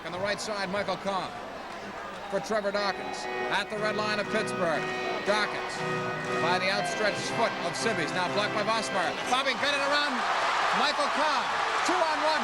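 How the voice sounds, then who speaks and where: Guy calling indoor soccer live, short choppy phrases, high voice, huge crowd roar behind him. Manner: clipped running phrases. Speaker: play-by-play commentator. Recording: loud crowd noise, noisy old sports broadcast. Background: roaring arena crowd.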